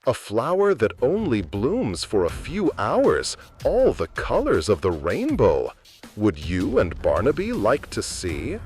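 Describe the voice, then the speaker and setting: A man voicing a falsely kind older male neighbor in a suspense story, with a smooth, cooing, gentle murmur. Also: fairly low pitch, huge pitch swings, a little slow, soft background music. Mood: coaxing. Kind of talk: storybook suspense character dialogue.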